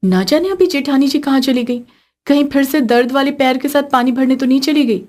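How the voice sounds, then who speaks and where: Woman as a fretful young daughter-in-law, high-pitched. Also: fairly quick, a little mellow, lightly acted. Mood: worried.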